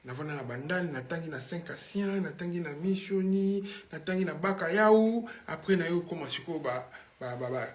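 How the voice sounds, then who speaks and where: A man's voice: fairly high pitch, animated, normal speed. A Congolese man with a Congolese French accent, a guest musician rambling through memories in a casual studio chat, switching between languages and breaking into bits of song. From Congo (Kinshasa). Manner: rambling, mixes French and Lingala, hums song snippets. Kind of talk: casual studio interview storytelling.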